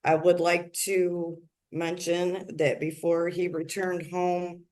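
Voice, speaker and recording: Middle aged woman telling the judge her side, formal wording, slow going. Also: lowish voice, flat delivery, a little mellow. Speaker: litigant bringing a motion in court. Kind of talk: formal account given to a judge. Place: large office room, on a video call.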